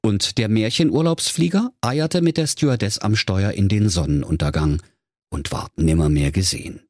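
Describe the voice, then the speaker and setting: A man's voice: fairly low pitch, normal speed, a little mellow, no room sound, studio quality. A man telling a scripted comic fairy tale in a mock-solemn storybook manner.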